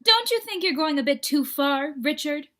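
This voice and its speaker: A woman acts out a jealous bedridden wife in an old-time radio play. Her voice is high and piercingly bright. She is stern and imperious, pressing every accusation. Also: animated, normal speed. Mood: cold, stern. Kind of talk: 1940s radio drama acting.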